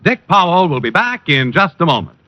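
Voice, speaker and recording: A man's voice: on the high side, big pitch swings, a little fast, muffled recording, faint music behind. A man making scripted announcements as the announcer of a mid-century radio broadcast. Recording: noisy, band-limited old radio broadcast with clicks.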